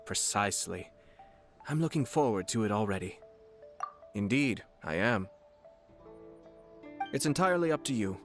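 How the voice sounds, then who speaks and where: A man's voice: lowish voice, expressive, a bit bright. A polished, sly young nobleman over music. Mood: relaxed, courteous. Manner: polished, formal, composed, playfully measured. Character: refined, courteous young nobleman, sly and teasing. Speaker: video game character voice actor. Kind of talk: scripted game character voice lines. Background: background music.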